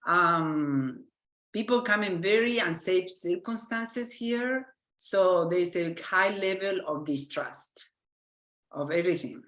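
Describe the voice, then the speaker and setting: A woman from Argentina speaking English with an Argentine Spanish accent, a physician giving an earnest lecture and often pausing to search for words and restart her sentences. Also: fairly low pitch, lively intonation, a bit bright, echoey room. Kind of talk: lecture presentation.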